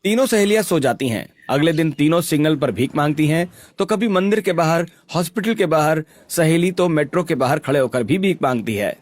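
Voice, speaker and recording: A man's voice: fairly high pitch, expressive, brisk, hardly any pauses. A man narrating a scripted story in a dramatic storytelling style.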